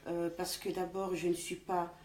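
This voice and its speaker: A woman from France, a guest in an interview, speaking deliberately with frequent restarts. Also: on the low side, level tone, a little mellow. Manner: deliberate, halting with many restarts. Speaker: interview guest, party official. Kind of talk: conversational interview. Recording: echoey room with faint background noise.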